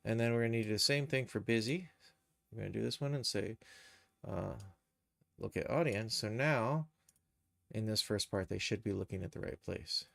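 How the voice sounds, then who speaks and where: A man hosting a casual, unscripted software tutorial, thinking aloud as he explains each step. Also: fairly low pitch, moderate pace, vocal fry, no room sound, clean studio sound. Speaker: live stream tutorial host, game and cartoon developer.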